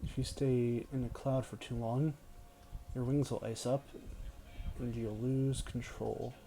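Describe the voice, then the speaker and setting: A man with a dark, relaxed voice at a medium pitch gives casual, patient game instructions. He sounds encouraging, in an intimate boyfriend-roleplay style. A light layer of noise sits behind him. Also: unhurried.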